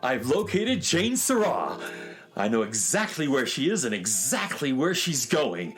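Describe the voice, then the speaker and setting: An excitable space handyman. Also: medium pitch, measured pace, soft background music. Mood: eager. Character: eager male space handyman, fussy and secretive. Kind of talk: children's radio drama.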